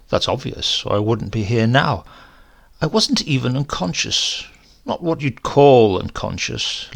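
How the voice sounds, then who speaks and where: A man reading a horror story as a shaken, rambling accident survivor, halting and conversational, brooding and prone to outbursts. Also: medium pitch, creaky, fairly bright tone, heavily acted. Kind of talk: dramatic first-person horror story reading.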